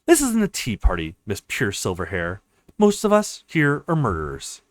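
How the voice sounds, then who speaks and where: A man's voice: on the low side, wildly swooping pitch, moderate pace, dry recording, studio quality. A man voicing a cold, formal swordswoman in a visual novel, curt and menacing. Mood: stern.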